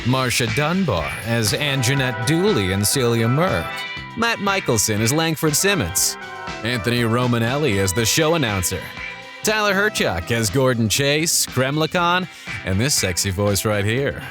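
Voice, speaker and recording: A man's voice: mid-range voice, sing-song, unhurried. A man announcing show credits in a playful radio DJ style. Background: guitar music.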